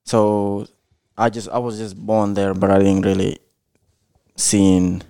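Man in his twenties from Somalia, low mellow voice with some fry; hesitant, slow guest. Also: completely flat delivery, dry recording, clean studio sound. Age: 20s. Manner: hesitant, short answers with many uhs. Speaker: podcast guest. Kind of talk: casual interview answers.

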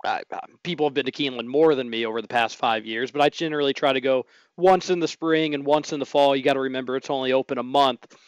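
Hoarse, upbeat radio sports host. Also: male voice, mid-range voice, fast, dry recording, lo-fi sound. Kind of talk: casual radio sports talk.

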